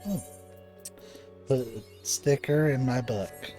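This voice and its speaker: A man's voice: medium pitch, slow, warm tone, faint music behind. A man streaming a video game live, commenting casually and reading bits of on-screen text aloud.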